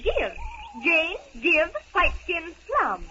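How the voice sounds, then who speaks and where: Young jungle heroine: very high, lilting voice, patient word-by-word coaxing, vintage radio drama with muffled hiss. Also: female voice, big pitch swings, full character voice. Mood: encouraging. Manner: slow, simplified, coaxing word-by-word teaching. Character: young woman castaway patiently teaching a jungle man. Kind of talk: 1930s radio acting. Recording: scratchy, band-limited 1930s radio recording.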